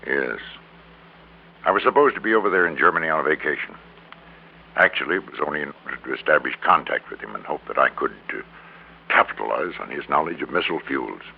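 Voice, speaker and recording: An actor playing a greedy, defeated middle-aged businessman in an old radio drama, confessing in a halting, resigned way. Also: very deep voice, lively intonation, gravelly, heavily acted. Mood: rueful confession. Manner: halting, resigned, repeats words for emphasis. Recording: crackly, narrow-band old radio recording.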